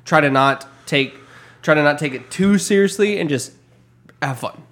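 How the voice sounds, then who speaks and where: A man's voice: on the high side, normal speed, fairly bright tone. A man co-hosting a casual tabletop gaming podcast, teasing his friends in a wry way. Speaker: podcast co-host.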